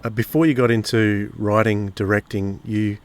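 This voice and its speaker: Lowish voiced guy doing a podcast interview, kinda hesitant with his questions. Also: steady tone, normal speed.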